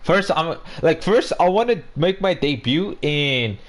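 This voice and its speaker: A man's voice: fairly high pitch, animated, a little slow. A man of about twenty chatting casually on a podcast as co-host, rambling and restarting his sentences. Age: 20s. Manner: rambling, repeats and restarts words. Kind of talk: casual chat.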